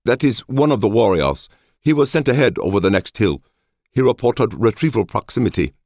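A man's voice: lowish voice, very animated, moderate pace, a little mellow, no room sound, studio quality, voice pushed up. A man voicing an eager, deferential subordinate in an audiobook, formally reporting to a lord. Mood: embarrassed. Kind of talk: audiobook character voice.

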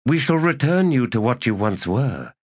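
A man voicing a bitter, ambitious one-eyed male elder god who speaks in a forceful, scornful and commanding way. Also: medium pitch, sing-song, normal speed, husky, voice pushed up. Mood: scheming. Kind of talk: audiobook character reading.

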